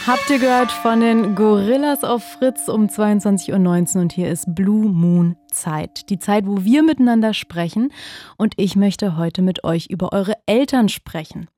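Woman radio host, chatty and friendly, laughs a lot, mid-pitched, dry studio sound. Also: a little slow, mellow, dry recording, faint music behind. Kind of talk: late-night radio call-in talk. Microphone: studio microphone.

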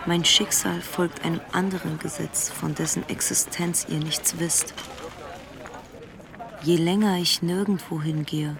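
An actor playing a rootless, searching immigrant in a measured, reflective radio play monologue. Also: male voice, on the high side. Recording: clear outdoor urban noise.

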